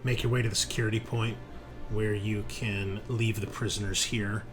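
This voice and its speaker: A man narrating a tabletop roleplaying game as game master, improvising with pauses. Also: lowish voice, level tone. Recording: music playing under the voice. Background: music.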